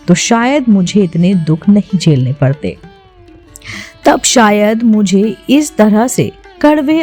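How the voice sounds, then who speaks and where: A woman's voice: medium pitch, mellow. A woman voicing a remorseful queen from mythology in a storytelling recording. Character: remorseful mythological queen confessing her secret love.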